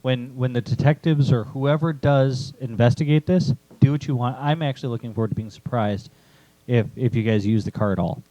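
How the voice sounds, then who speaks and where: A man's voice: mid-range voice, brisk, warm tone, no echo, dull audio. A man talking informally and thinking aloud as he discusses his own writing with co-hosts. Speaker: writer and co-host presenting own chapter. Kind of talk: casual critique discussion.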